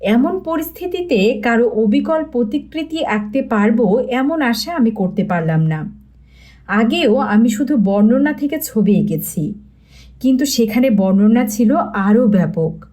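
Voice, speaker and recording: Female audiobook narrator, high register, dark mellow timbre, scripted storytelling. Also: expressive, a little slow.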